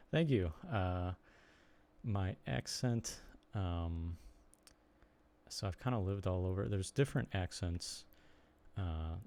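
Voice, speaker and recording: Low gravelly man with a Chicago accent. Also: animated, a little mellow. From Chicago area, United States. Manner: rambling, halting, lots of ums and restarts. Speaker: streamer and blogger. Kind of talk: casual live-stream chat with viewers.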